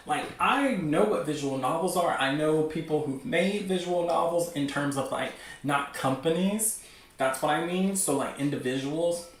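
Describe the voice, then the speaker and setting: A man hosting a casual livestream, talking in a gushing, excitable, rambling way peppered with "like". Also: fairly high pitch. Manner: gushing, excitable fan enthusiasm; rambling, heavy use of "like". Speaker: livestream host. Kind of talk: casual livestream chat about webcomics. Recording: echoey room, distant from mic.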